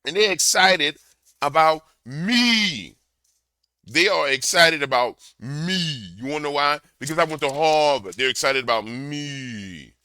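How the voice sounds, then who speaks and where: A man with a big booming voice doing a slangy, heated reaction rant into a desk microphone. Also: expressive, unhurried, smooth, no echo, clean studio sound. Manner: loud, slangy, repetitive, heated. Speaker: commentator reacting to a clip. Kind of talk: ranting reaction commentary.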